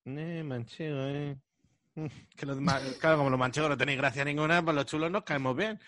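Teasing man from Madrid, fairly high voice. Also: brisk, a bit bright, no echo, studio quality. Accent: Madrid Spanish. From Madrid, Spain. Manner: joking, teasing, colloquial. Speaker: host. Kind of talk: casual group chat.

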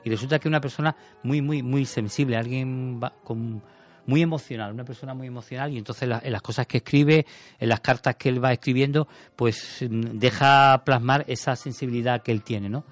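A man's voice: mid-range voice, steady tone, brisk, faint music behind. A man on a radio show talking about a film in a thoughtful, rambling way, with many restarts. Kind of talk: casual radio chat. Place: radio studio.

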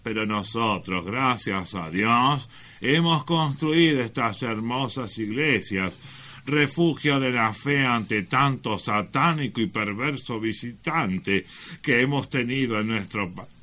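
Slow, forceful preaching friar, played by a man. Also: mid-range voice, animated. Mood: solemn, unctuous. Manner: solemn, deliberate, preachy. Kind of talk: parody sermon, radio sketch.

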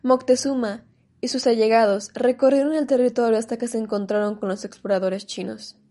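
A woman narrating a scripted historical radio drama in a measured storytelling manner. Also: on the high side, steady tone, background noise.

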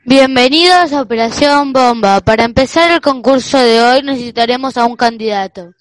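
Woman acting schoolboy bully, mock game-show announcer, taunting, raised pitch, dry sound, radio play. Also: moderate pace, no echo, natural voice, low-quality recording. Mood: showy announcing.

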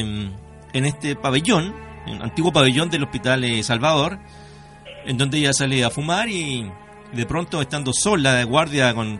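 A man hosting a radio show, talking conversationally and haltingly, often checking with tag questions. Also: mid-range voice, pushed and bright. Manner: halting, repeats words, seeks confirmation with tag questions.

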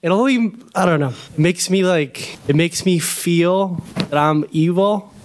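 A young man from Venezuela speaks in a fairly high voice. He is a student addressing a panel with a question from the audience. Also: a little slow. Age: young adult.

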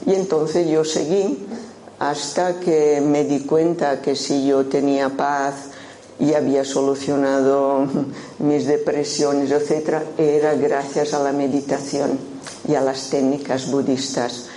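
A woman's voice: sits low, level tone, takes it slowly, mellow. A woman in her sixties from Menorca, with a Menorcan Spanish accent, gives an informal talk as a Buddhist teacher. She speaks in a thoughtful way with frequent hesitations. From Menorca, Spain. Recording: roomy hall with faint background noise.

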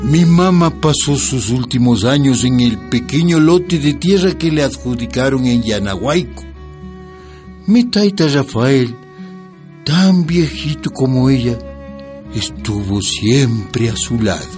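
A grown son narrating memories in a radio drama. His mid-pitched voice is plain and reflective, with an Ecuadorian accent. Faint music plays behind him. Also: animated, takes it slowly. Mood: nostalgic. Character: grown son tenderly recalling his aged mother.

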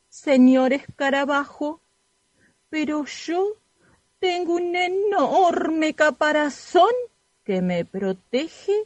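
A woman playing a slow, proud snail with a big shell in a children's radio play, drawing out her words. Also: sits high, no echo. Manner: slow, drawn-out delivery.

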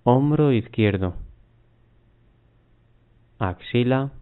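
A man guiding a mindfulness body scan, giving unhurried instructions in short phrases with long pauses. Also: mid-range voice. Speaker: meditation guide. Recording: muffled, phone-like narrow band.

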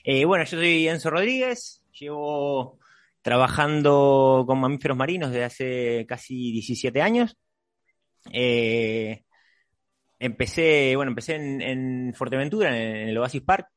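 A middle-aged man with a medium-pitched voice talks informally. He rambles a little, halting and repeating the starts of phrases. The sound is dry, with little room in it. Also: animated, no room sound, faint music behind. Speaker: host, marine mammal trainer. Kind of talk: casual introductory chat.

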